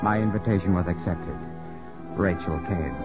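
Actor as brooding young English gentleman, muffled radio. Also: sits low, normal speed, lightly acted. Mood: brooding narration. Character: proud, suspicious young English country gentleman, telling his story. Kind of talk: 1950s radio drama acting. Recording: muffled 1950s radio recording, loud music.

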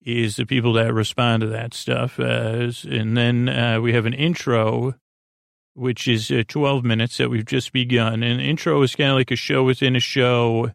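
Male bedtime podcaster, very gravelly and soothing, wandering monologue with long hesitations, recorded dry and close. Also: medium pitch, level tone, no room sound. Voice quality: creaky. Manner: meandering, halting, full of pauses; lulling, soothing. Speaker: podcast host.

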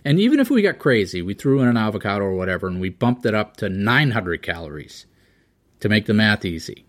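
A man's voice: on the low side, big pitch swings, fairly quick, fairly bright tone. Chatty male podcast host. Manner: conversational, frequent "yeah" and "right" backchannels. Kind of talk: casual interview and solo segment.

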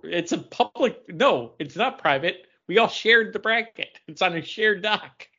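A man's voice: sits high, expressive, a little slow, sharp tone, slightly rough, highs cut off. A man chatting casually and informally as a podcast co-host, with bantering delivery. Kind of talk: casual chat about comic books.

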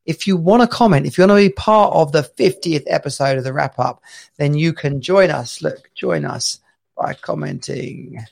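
A man's voice: on the high side, moderate pace, vocal fry, darkish tone. A man co-hosting a live-streamed chat show, rambling and chatty, laughing often. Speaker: podcast co-host.

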